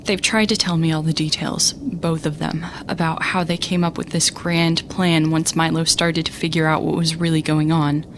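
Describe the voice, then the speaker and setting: A woman's voice: sits low, little pitch movement, normal speed, few pauses, slight background noise. A woman playing an exasperated young space captain who narrates her story in a sarcastic, conversational way for an audio drama. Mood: weary, earnest. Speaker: narrator and lead character.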